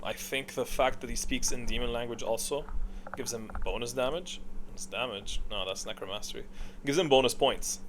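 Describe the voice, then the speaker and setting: A man's voice: fairly low pitch, fairly bright tone, a little hiss or hum. A man giving casual, unscripted gaming commentary, thinking aloud in an opinionated, rambling way. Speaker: video game commentator.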